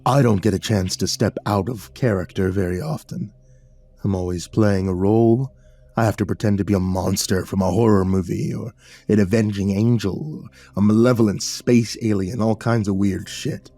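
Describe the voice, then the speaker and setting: A man doing a low, sneering demon lord. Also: fairly even intonation, mellow, dry recording, faint music behind. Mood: wistful. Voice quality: low, rumbling, drawn-out. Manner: slow, sneering, petulant. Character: sulky goth demon lord giant, male, scornful.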